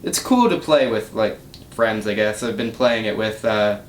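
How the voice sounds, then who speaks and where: A young man giving a casual, rambling update as host of a gaming channel, recorded indoors in a small room. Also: normal speed. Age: young adult. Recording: roomy echo, low rumbling noise.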